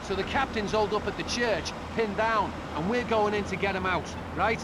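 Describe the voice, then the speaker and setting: A man's voice: high voice, very noisy, lightly acted. A man voicing an eager young soldier. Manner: eager, quick questions to his sergeant. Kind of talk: video game cutscene acting.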